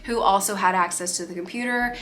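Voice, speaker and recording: A woman's voice: medium pitch, a little fast, heavy background noise. A young woman with a nasal, congested voice and short of breath, giving casual, opinionated commentary as a vlogger. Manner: chatty, opinionated, animated.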